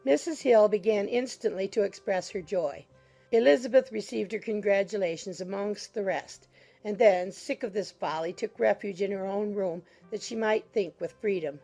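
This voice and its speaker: A woman's voice: medium pitch, moderate pace, creaky. A woman reading literary narration for a dramatized audiobook. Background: soft music.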